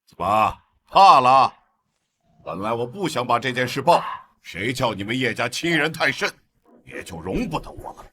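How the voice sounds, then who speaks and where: A man's voice: medium pitch, big pitch swings, moderate pace, pushed and bright, slight background noise. A man acting a smug, scheming middle-aged clan patriarch, a melodrama villain with a sneering, theatrical delivery. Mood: smug menace. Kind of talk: melodramatic short-drama acting.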